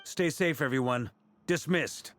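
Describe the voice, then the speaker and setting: A man playing a relaxed, commanding uncle-like leader in a video game, easygoing and assured as he gives orders. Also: fairly high pitch, measured pace, slight background noise, lightly acted. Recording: music behind the voice.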